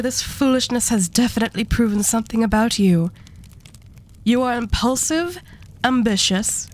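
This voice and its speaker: A woman playing an arch devil who hoards relics, speaking with unhurried, condescending and politely menacing poise in a scripted ASMR roleplay. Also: on the high side, natural voice.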